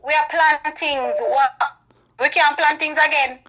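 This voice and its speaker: A woman's voice: sits high, fairly even intonation, a little slow, pushed and bright. A woman with a Jamaican accent chatting casually over a phone call.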